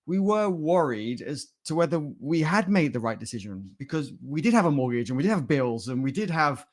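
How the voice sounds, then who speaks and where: A man's voice: fairly high pitch, big pitch swings, fairly quick. A man giving a conversational talk to a livestream audience in a jokey, rambling, informal way. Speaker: conference speaker.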